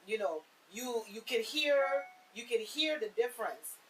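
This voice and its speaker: A woman's voice: a little high, expressive, unhurried, a bit bright, low-quality recording. A woman explaining points conversationally and emphatically, with rhetorical turns, as a discussion panelist. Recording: faint background hiss.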